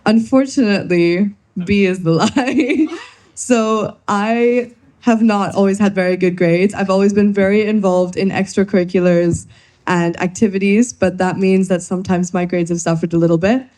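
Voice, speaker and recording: A woman's voice: medium pitch, steady tone, moderate pace, darkish tone. A young woman from Kenya presenting to a workshop audience, friendly and playful as she runs a quiz. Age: young adult. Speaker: workshop speaker.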